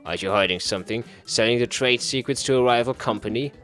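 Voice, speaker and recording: A man's voice: mid-range voice, quick pace, fairly bright tone, soft background music, natural voice. A man voicing a sly, needling, hungry office worker in a visual novel, dry and mock-friendly as he probes. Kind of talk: visual novel character reading.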